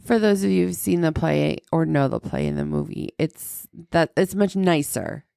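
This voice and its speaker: A middle-aged woman hosting a casual, joking podcast chat, her voice deepened and congested by a sinus infection, with vocal fry. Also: moderate pace, mellow, dry recording, clean studio sound. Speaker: podcast host.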